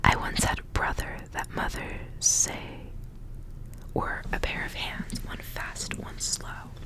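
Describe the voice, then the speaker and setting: A young woman whispering in a breathy, intimate ASMR style, softly reading and chatting. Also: slow. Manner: soft, intimate narration with casual asides. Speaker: ASMR reader.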